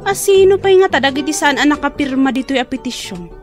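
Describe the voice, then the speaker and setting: A woman's voice: high-pitched, lively intonation, brisk, music in the background. A woman playing an indignant young single neighbour with an Ilocano accent in a comedy radio drama. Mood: determined.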